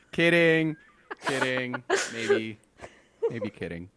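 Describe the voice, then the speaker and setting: A man co-hosting a podcast in casual chat, animated and quick to laugh. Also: mid-range voice, noisy background. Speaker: podcast co-host.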